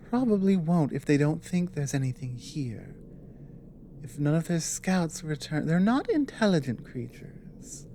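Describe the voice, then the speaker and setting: A woman playing a weary, earnest dragon ally with magic in an ASMR audio roleplay, speaking in a measured, halting way. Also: low voice, dark tone, a little hiss or hum.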